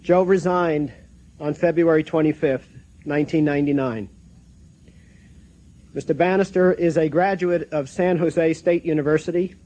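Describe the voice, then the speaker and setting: An American man acts as a seminar host, reading a prepared introduction. His tone is soft-edged and relaxed, pitched a bit above average, and he moves formally through the text phrase by phrase. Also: normal speed, long pauses, rough recording. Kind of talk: formal scripted introduction read aloud.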